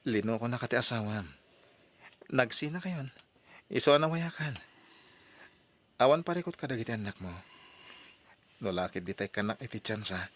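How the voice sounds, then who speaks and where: A man's voice: mid-range voice, animated, normal speed, long pauses, lightly acted. An actor playing an earnest male suitor in an old radio drama, speaking persuasively and pleadingly. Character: earnest male suitor courting a separated mother.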